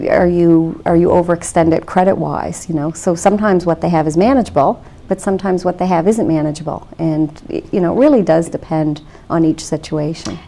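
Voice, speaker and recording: A woman with a somewhat low, mellow voice, talking as a debt counselor on a television interview show. She explains in a measured, reassuring way and fills her pauses with "you know" and small restarts. The sound is a clean studio recording. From Canada.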